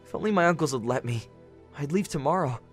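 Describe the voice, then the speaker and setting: Shy, earnest young woodland lad played by a woman, low for a female voice, swinging widely in pitch. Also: animated, quick pace, soft background music. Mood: longing. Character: gentle, sheltered young forest man, shy and earnest. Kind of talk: fan audio drama acting.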